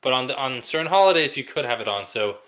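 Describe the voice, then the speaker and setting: A young man co-hosting a casual podcast, explaining things with dry, deadpan jokes. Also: medium pitch, fast talker. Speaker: podcast co-host. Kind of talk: casual chat.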